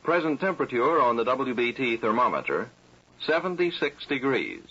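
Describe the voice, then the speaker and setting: A man's voice: mid-range voice, sing-song, moderate pace, sharp tone, husky. An American man announcing for a local radio station in a scripted weather-report style. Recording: muffled vintage radio recording.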